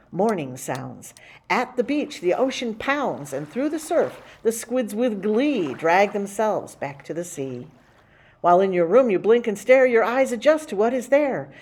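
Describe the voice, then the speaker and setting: A woman's voice: medium pitch, darkish tone, breathy edge. Woman reading a children's story expressively. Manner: theatrical, rhythmic rhyming delivery with dramatic slowing. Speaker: library story time host and reader. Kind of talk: expressive picture book read-aloud for children.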